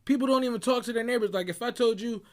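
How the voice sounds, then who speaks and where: A young man from Barbados with a notably high voice delivers a motivational monologue. He talks in an emphatic, preachy way, tagging his points with "right?" and "bruh" as he drives them home. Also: quick pace. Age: young adult. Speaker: motivational speaker. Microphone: audio clip recording.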